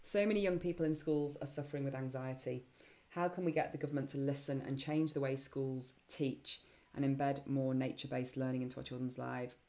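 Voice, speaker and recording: A woman hosting a live online Q&A session, chatty and enthusiastic, with many ums and a voice getting croaky. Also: extremely low pitch, steady tone, brisk, warm tone. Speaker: webinar host and program founder.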